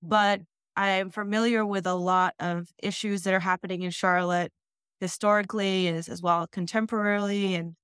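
A woman originally from the Netherlands, in her thirties, explains her work in a measured, steady way. Her voice is smooth and clear. It sounds dry and close, with no room around it. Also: medium pitch, no room sound. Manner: measured, explanatory, occasional restarts. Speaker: school district planning head. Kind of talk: interview answers.

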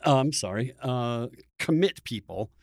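Halting male interviewer in a dry recording. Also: mid-range voice, lively intonation, darkish tone, dry recording. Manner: halting, stammering over word starts, searching for words. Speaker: podcast host and interviewer. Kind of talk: reflective conversational interview.

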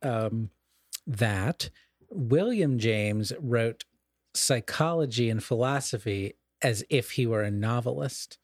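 A man's voice: medium pitch, lively intonation, slow pace, no echo, studio quality. A man co-hosting a podcast, talking casually about literature in a discursive, scholarly way and often correcting himself as he goes. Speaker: podcast co-host.

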